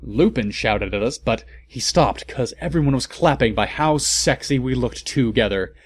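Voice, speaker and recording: A man's voice: mid-range voice, lively intonation, normal speed. A man giving a theatrical comedic reading of fan fiction, breaking in with incredulous asides. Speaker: comic reader. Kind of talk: comedic dramatic narration of fan fiction with interjections.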